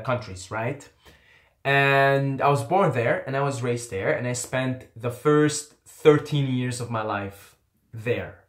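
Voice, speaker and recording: A young man from Qatar, first language Arabic, talks in a loose, candid vlog manner, circling back and repeating himself as he remembers. His voice sits at an ordinary middle pitch for a man. The room around him rings with noticeable echo. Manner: rambling, candid, swears casually, repeats phrases. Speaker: YouTuber. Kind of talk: casual vlog storytelling. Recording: echoey room.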